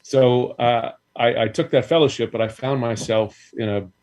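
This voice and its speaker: A middle-aged man chats as a guest, often stopping and restarting his thoughts before getting to the point. His voice sits at an ordinary pitch for a man. He speaks over a video call from a room at home. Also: normal speed, a little mellow. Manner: rambling, self-interrupting. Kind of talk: casual chat.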